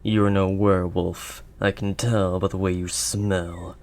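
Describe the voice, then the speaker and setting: Male actor as a gruff kindly werewolf, deep pitch, restrained intonation, halting, protective, intimate roleplay. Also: little pitch movement. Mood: wary. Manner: halting, awkward, gently protective. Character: gruff but kindly male werewolf living wild in woods. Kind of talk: audio roleplay, intimate second-person acting.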